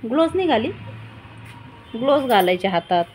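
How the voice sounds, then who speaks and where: A woman's voice: fairly high pitch, very animated, a little fast, darkish tone, a little hiss or hum. A middle-aged woman, chatting casually at home and giving instructions as a family vlogger. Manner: instructing, chiding. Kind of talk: casual household chat and vlog narration.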